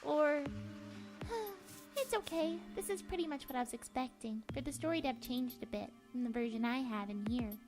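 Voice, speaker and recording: A very high, childlike little book spirit for a cartoon dub, chatty and affectionate with a wistful streak. Music plays clearly under the tender, rambling voice. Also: female voice, expressive, moderate pace. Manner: cutesy, rambling, tender, playful slang. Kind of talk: comic dub voice acting.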